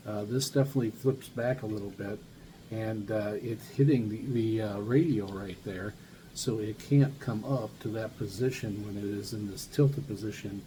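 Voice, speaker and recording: A man hosting a casual hands-on demonstration, talking in a halting, unscripted way as if thinking aloud. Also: fairly low pitch. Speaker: hobbyist video host reviewing radios. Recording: noisy recording with loud background noise.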